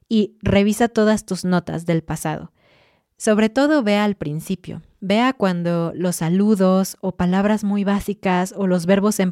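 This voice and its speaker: Encouraging woman, low voice, dry sound. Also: no echo. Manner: clear, encouraging, lively asides. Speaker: Spanish teacher and podcast host. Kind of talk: friendly advice to learners.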